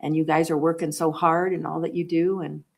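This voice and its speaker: A woman's voice: low-pitched, little pitch movement, brisk, gravelly, darkish tone. A woman serving as school board president, giving encouraging thanks at a board meeting. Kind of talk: formal remarks at a public meeting.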